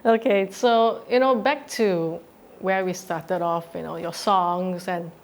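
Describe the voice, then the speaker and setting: A woman's voice: lowish voice, steady tone, slow pace. A woman interviewer from Southeast Asia, asking a musician questions in a friendly way and laughing easily. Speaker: interviewer, editor. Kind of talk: casual interview.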